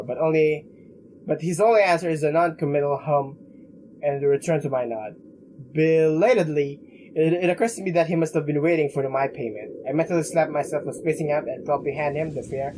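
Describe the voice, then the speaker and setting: A young man doing a let's play, haltingly reading game text aloud with casual commentary. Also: fairly high pitch, darkish tone, a little hiss or hum, low-quality recording. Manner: halting, stumbles while reading.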